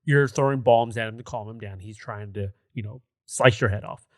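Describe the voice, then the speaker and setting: A man's voice: on the low side, moderate pace, darkish tone, no room sound, studio quality. A man co-hosting a gaming podcast, chatting casually in a conversational, rambling way. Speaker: podcast co-host.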